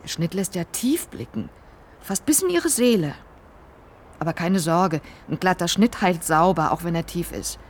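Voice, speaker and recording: Radio drama role: streetwise snack-stand woman, kind-hearted and bantering, very animated delivery. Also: lowish voice, normal speed, a little hiss or hum. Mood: caring, chatty. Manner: chatty, bantering, plain-spoken. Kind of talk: German radio crime drama acting.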